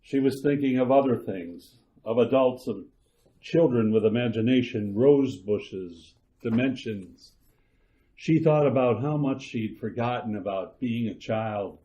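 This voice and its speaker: A man narrating a radio drama in a slow, measured way. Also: medium pitch, warm tone. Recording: muffled lo-fi recording.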